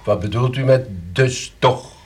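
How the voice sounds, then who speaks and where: A man's voice: medium pitch, unhurried, natural voice. A man acting in a radio play as a suspicious police detective, dryly ironic and pointed in his questioning. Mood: pointed, insistent. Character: dry, probing, suspicious male police detective.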